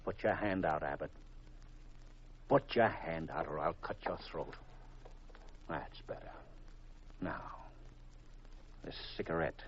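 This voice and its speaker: An actor playing a scheming false colonel in an old radio mystery, speaking deliberately and with cool menace. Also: male voice, low voice, a little hiss or hum. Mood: menacing. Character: smooth-talking male villain posing as colonel, menacing, gloating. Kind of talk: 1940s-50s radio mystery acting. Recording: muffled vintage radio recording.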